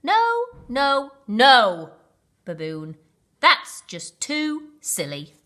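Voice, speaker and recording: A woman's voice: high-pitched, wildly swooping pitch, very slow, bright, forceful tone, pitched above the performer's own voice, exaggerated voice. A woman voicing a gloomy, self-conscious rhino in a children's story, complaining with heavy emphasis. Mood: exasperated.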